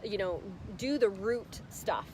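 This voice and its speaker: A woman's voice: mid-range voice, slow pace, a little mellow, a little hiss or hum, poor audio. A woman in her late thirties hosting a casual live stream, talking in a bubbly, effusive, spiritual way, recorded on a phone outdoors. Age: 30s. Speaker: life coach and live stream host.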